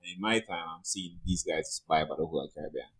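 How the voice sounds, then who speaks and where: A man's voice: deep voice, fast, fairly bright tone. A Jamaican man co-hosting a podcast, talking casually and colloquially about finance, with opinions of his own. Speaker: podcast co-host reviewing stocks. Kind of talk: casual unscripted financial chat.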